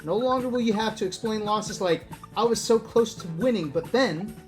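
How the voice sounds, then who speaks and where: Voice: mid-range voice, moderate pace. A streamer reading a book aloud in a casual way, breaking off for wry asides and talking directly to viewers. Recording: music playing behind the voice. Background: background music.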